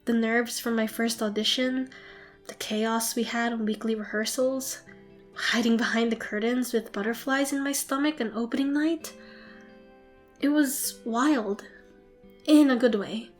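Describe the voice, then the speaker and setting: Woman acting a shy, high-voiced theatre student girl. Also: fairly even intonation, measured pace, soft background music, lightly acted. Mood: wistful, earnest. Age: young adult. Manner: hesitant, flustered, apologetic. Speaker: amateur voice actor. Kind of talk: ASMR roleplay acting.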